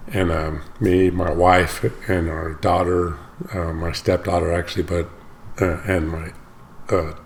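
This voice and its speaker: A man with a low, gravelly voice that is still slightly hoarse after an illness. He talks casually and rambles, often stopping to fix his own words. A faint hum of room noise sits under him. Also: flat delivery, a little slow. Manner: rambling, stops often to correct himself. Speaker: reaction channel host. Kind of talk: casual talk to camera.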